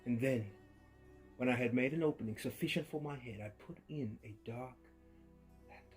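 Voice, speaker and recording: A man giving a dramatic horror story reading, swinging between hushed murmurs and sudden loud outbursts, with an unhinged edge and creepy laughs. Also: medium pitch, normal speed. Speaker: narrator. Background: faint violin music.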